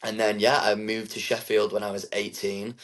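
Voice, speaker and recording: A man, talking casually as an interview guest, relaxed and rambling with many fillers. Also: fairly low pitch, normal speed. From England. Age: 20s.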